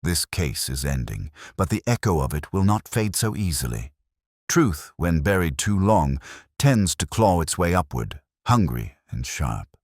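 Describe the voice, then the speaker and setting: An intense, analytical gentleman detective played by a male narrator speaks in a very deep voice. His delivery is deliberate and declamatory, with wide pitch movement. It is a brooding storybook figure, recorded dry. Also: very animated, no room sound, exaggerated voice.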